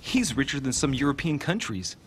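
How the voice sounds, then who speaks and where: A man acting an eager, inquisitive young gentleman investigator in a video game cutscene, with an earnest, teasing, expressive delivery. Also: medium pitch, a little fast, a little hiss or hum. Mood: intrigued.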